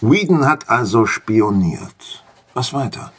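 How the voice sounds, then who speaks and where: A man's voice: mid-range voice, animated, slow pace, slightly rough. An actor playing a dry, sardonic man questioning a witness in an audio drama. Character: dry, sardonic questioner probing a strange case.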